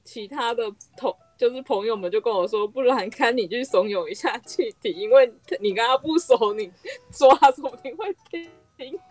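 A woman's voice: fairly high pitch, animated, moderate pace, soft background music. A woman hosting a casual podcast chat in an enthusiastic, self-deprecating way. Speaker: podcast host.

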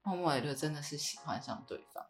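A woman's voice: deep voice, fast, soft background music. A woman with a Taiwanese Mandarin accent hosting a podcast in a casual, conversational way. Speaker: podcast host.

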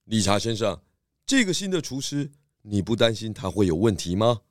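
A man's voice: on the low side, very animated, moderate pace, no room sound, clean studio sound. A man voicing an easygoing, caring, food-loving pig detective in a children's audio story. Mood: reassuring.

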